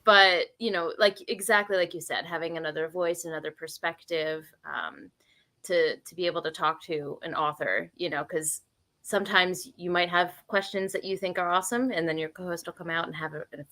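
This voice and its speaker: A woman's voice: on the low side, normal speed, sharp tone. A woman co-hosting a podcast over a video call, upbeat and chatty, laughing often. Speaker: podcast co-host. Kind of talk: casual video podcast chat.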